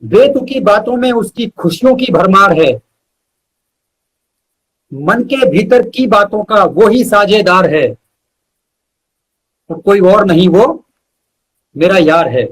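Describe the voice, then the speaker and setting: A man's voice: high-pitched, animated, takes it slowly, pauses often, slightly rough, poor audio. A man reciting poetry as a guest poet, repeating lines for emphasis, with short asides to listeners.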